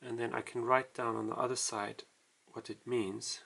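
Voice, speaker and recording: A man casually walking through a writing tutorial, explaining in a halting, self-deprecating way as he writes. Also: medium pitch, flat delivery, creaky, fairly bright tone, husky. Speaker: language tutorial host.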